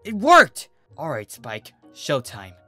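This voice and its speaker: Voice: mid-range voice, wildly swooping pitch, takes it slowly, pushed and bright, husky, no echo, faint music behind, voice pushed up, heavily acted. A narrator voicing a mischievous young dragon boy in a theatrical, exaggerated way for a cartoon fan story. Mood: sly.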